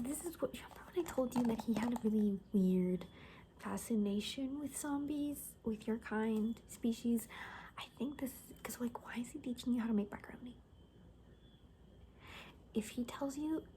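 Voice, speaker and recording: A woman playing a gossipy, rambling zombie apocalypse survivor in a soft-spoken, whispery ASMR roleplay, talking hesitantly and conspiratorially. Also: on the high side, slight background noise. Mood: conspiratorial, concerned.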